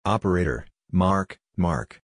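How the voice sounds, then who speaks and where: Low-voiced man reading short radio phrases. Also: slow, no echo, clean studio sound. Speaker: narrator of a Morse code practice drill.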